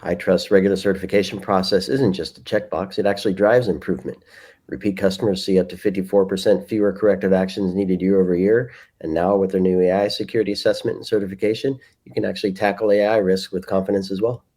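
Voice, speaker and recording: A man with a low, mellow voice delivers a podcast ad. He talks quickly, in a scripted but conversational way. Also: steady tone. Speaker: podcast presenter reading a sponsor spot.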